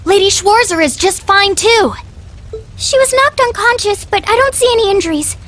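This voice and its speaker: A woman playing a gentle teenage noble girl in a video game, polite, emotional and pleading. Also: very high voice, expressive, normal speed. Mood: worried relief. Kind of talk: dramatic video game acting.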